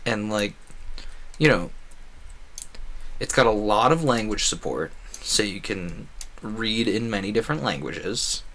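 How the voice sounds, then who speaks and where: A man's voice: fairly low pitch, pushed and bright. A man doing casual gameplay commentary as a streamer, talking in a rambling, conversational way with frequent asides. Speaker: video game streamer.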